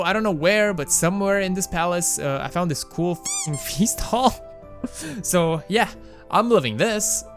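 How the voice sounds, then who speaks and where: Young male host, Romanian accent, animated slightly high delivery, self-mocking asides, faint music under. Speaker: gaming video host. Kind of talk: casual game mod commentary voice-over.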